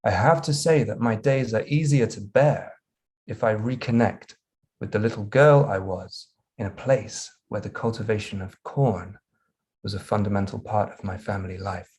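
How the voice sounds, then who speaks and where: A man with a somewhat low voice reads a prose passage aloud. His delivery is measured and steady. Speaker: reader. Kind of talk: reading aloud from a book.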